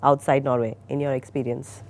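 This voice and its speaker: A woman's voice: extremely low pitch, level tone, brisk, darkish tone. A woman moderating a panel of founders, asking long, winding questions that trail off.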